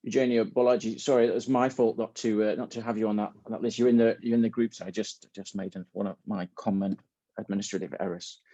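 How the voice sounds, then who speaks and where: A man with a soft-edged, mellow voice moderates a student video call. They speak informally and hesitantly, rewording as they go. The sound is dry and slightly dull. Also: lowish voice, fairly even intonation, fast, no room sound. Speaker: host and moderator of a student symposium.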